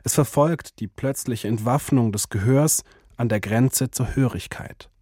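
A man reads a scripted radio feature at a middle pitch with a calm, even delivery. His tone is dark and mellow. The studio sound is close and dry. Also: unhurried, breathy edge, no echo. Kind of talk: scripted narration.

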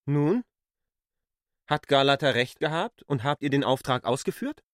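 A man's voice: medium pitch, expressive, a little slow, no echo, studio quality, lightly acted. A man acting a defiant, impatient character in a radio drama, challenging and probing. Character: defiant, questioning man, impatient with his assistants.

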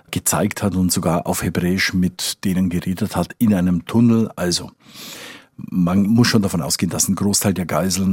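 Low-voiced, husky man explaining. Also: darkish tone, no echo, studio quality. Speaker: foreign correspondent. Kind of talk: conversational expert explanation in an interview.

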